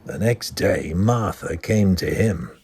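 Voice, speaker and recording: A man's voice: sits low, animated, slow pace, a little mellow, a little hiss or hum. A man playing a grave asylum doctor who narrates a grim case in a solemn, ominous horror drama style. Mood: solemn narrating.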